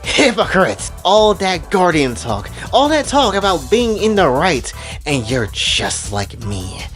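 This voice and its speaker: A man's voice: fairly low pitch, slow pace, bright, forceful tone, voice pushed down. A man voicing a hot-headed, spiteful female fighter in a story, accusing and emphatic. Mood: outraged. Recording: music behind the voice.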